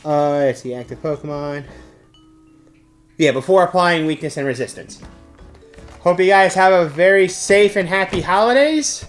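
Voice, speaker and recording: Higher-voiced man presenting, music behind. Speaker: presenter of a game store's monthly news segment. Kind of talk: informal product showcase to camera.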